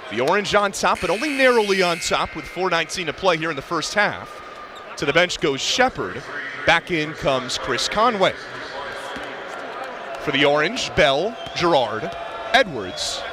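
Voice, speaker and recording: A man with a high voice calls basketball live over a loud arena crowd. He narrates in short, vivid bursts as a play-by-play announcer, with plenty of noise around him. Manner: clipped, vivid running description. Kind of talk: live sports commentary. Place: courtside in a large indoor arena. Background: crowd and bouncing basketball.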